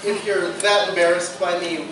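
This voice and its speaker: A man's voice: high voice, level tone, moderate pace, natural voice. A man playing a blunt, impatient college art student with a dry delivery in live radio theatre. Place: live stage performance in echoey room. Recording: very echoey hall, distant mic, noisy.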